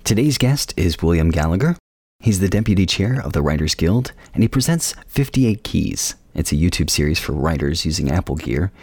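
A man's voice: sits low, very animated, moderate pace, a little mellow, no echo, clean studio sound. A man hosting a conversational interview podcast with an enthusiastic, affirming manner. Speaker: podcast host.

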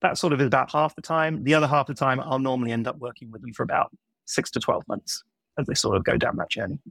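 Creaky-voiced man with British-American accent. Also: medium pitch, steady tone, quick pace, a bit bright, no echo. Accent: blend of British and American. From United Kingdom. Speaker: podcast guest, software consultant. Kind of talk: casual explanatory interview talk.

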